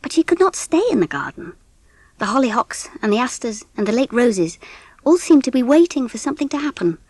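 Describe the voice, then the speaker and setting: A woman with an English accent giving an expressive, measured narration of a scripted storybook. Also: a little high, husky, no room sound. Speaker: audiobook narrator.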